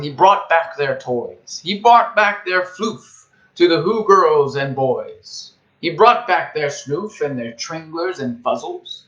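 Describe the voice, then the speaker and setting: A man reading a rhyming children's storybook aloud as a storyteller, with theatrical, emphatic and measured phrasing. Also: high-pitched, a bit bright, muffled recording.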